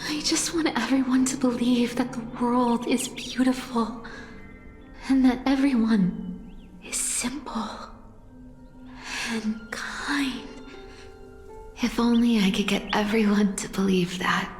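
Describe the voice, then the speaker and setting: A woman playing a wistful, idealistic young woman in a game, with a soft, hushed delivery. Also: fairly high pitch, steady tone, slow pace, long pauses. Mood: wistful, yearning. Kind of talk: scripted game drama acting. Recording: long echoing reverb, music behind. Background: music.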